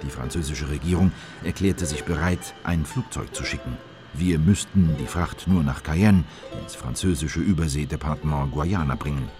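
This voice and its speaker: A man's voice: extremely low pitch, moderate pace. An actor playing a grave, indignant male government insider who narrates events in a scripted radio play. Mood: grave indictment. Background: prominent music.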